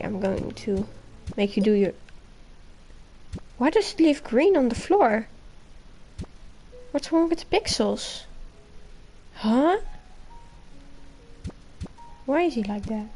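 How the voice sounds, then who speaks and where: A woman's voice: a little high, a little mellow. A young woman chatting casually and playfully as she live streams a game, rambling along to her viewers. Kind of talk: casual chat while playing a game. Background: game music.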